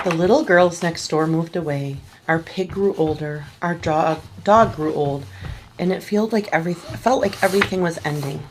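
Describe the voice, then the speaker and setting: A woman reading a children's picture book aloud at a church service, gently and slowly, with small restarts. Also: sits low, slight background noise, low-quality recording. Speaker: story reader at a church service.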